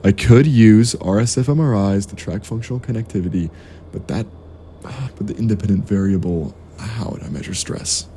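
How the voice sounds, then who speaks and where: Man as a very mellow, discouraged student. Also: lowish voice, normal speed, a little hiss or hum, natural voice. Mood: stressed. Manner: hesitant, sighing, subdued. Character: discouraged, self-doubting young male university student. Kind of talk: naturalistic audio drama acting.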